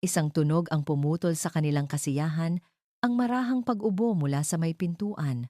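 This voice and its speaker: Low, mellow woman storyteller. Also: normal speed, no echo, clean studio sound. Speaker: story narrator. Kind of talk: dramatic scripted storytelling narration.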